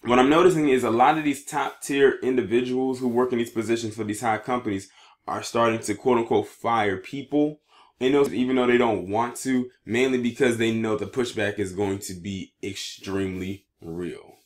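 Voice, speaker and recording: A man's voice: medium pitch, steady tone, normal speed. A man presenting news commentary for an online video, reading articles aloud and adding his own remarks, sometimes stumbling over words.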